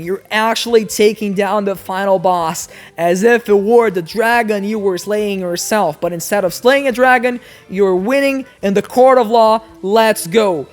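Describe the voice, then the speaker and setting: A woman giving casual, informal video essay commentary on games, speaking in an animated, emphatic way. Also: mid-range voice.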